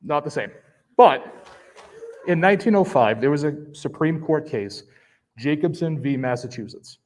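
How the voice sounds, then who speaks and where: A man speaking as a local resident at public comment, laying out a measured argument and reading a quotation. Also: mid-range voice, darkish tone.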